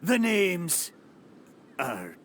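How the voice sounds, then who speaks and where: A man's voice: high-pitched, huge pitch swings, very slow, a bit bright, a little hiss or hum, heavily acted. A man voicing a jovial old talking boat in a video game, with boastful, hearty pirate slang. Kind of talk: video game character acting.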